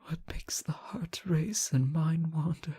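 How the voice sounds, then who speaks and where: Man acting a slow, breathy, anguished captive king. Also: a little high, dark tone, full character voice. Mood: aching, intense. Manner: halting, breathy, often dropping to whispers. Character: captive reckless but benevolent male ruler, lovesick and anguished. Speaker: audio roleplay performer. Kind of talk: emotional fantasy romance audio drama.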